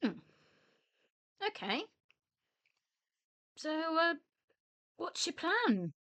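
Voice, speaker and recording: A down-to-earth widow in a radio play, acted by a woman, with a high and lively voice. She takes her time over each line and deflates grand claims with dry scepticism. The recording is dry and close. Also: extremely slow, no echo, heavily acted. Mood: wry. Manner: matter-of-fact, dry, sceptical. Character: unimpressed, down-to-earth widow of a scientist, dryly deflating. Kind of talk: radio play dialogue acting.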